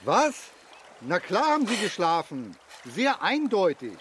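Lively, hesitant kindly sidekick. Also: male voice, sits high, unhurried, a little hiss or hum, full character voice. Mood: wry concern. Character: cautious, kindly male sidekick on a makeshift boat. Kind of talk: children's radio play acting.

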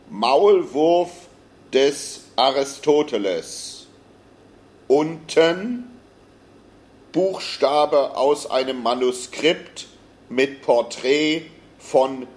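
A solemn announcer in a radio play. He reads captions in short, detached fragments at a crawling pace. His voice sits at a middling pitch for a man. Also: a little mellow. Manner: deliberate, halting, fragmentary delivery. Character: solemn male announcer reading out captions of slides.

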